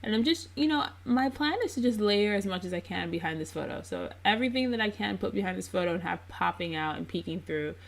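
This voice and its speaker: A woman's voice: medium pitch, fast, creaky, few pauses. A woman narrating a crafting video in a casual, chatty voice-over. She sounds congested and under the weather. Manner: chatty and relaxed, with frequent ums. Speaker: scrapbook crafter narrating her process video.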